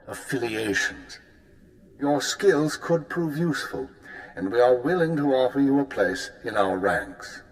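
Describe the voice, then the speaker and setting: A composed intelligence chief, voiced by a man, with a mid-range voice and formal, measured delivery. His tone stays dry and controlled. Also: medium pitch, close to an everyday voice, poor audio.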